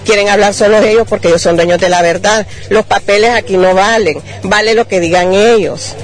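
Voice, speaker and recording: A woman interviewed outdoors, calmly and firmly defending her claim in detail. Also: medium pitch, fairly quick, faint music behind. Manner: firm, detailed, self-defending. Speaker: landowner's granddaughter. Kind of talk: street news interview.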